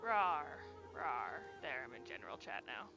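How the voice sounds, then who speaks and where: A woman's voice: a bass voice, very animated, unhurried, muffled recording, over music. A woman streaming a video game, chatting offhand with viewers and swearing casually as she plays. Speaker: gaming streamer. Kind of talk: casual live-stream chat while playing.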